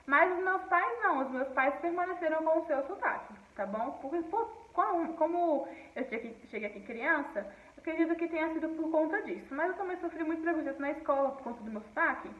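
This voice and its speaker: A woman's voice: high-pitched, animated, a little fast, few pauses, roomy sound, distant mic, narrow, tinny audio. A woman teacher from Paraíba, now speaking with a Brasília accent, explaining a textbook lesson to her class and often checking in with "tá bom?". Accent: Brasília Portuguese. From Paraíba, Northeast Brazil.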